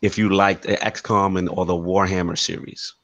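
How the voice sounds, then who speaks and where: A man's voice: low-pitched, level tone, moderate pace, fairly bright tone, dry recording, highs cut off. A man hosting a casual gaming chat, encouraging and affirming his guests. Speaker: show host.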